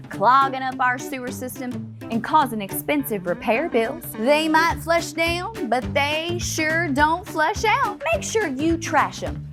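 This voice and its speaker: A woman playing a perky potty mascot, giving playful, emphatic advice in a scripted public service ad. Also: high voice. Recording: music bed behind the voice.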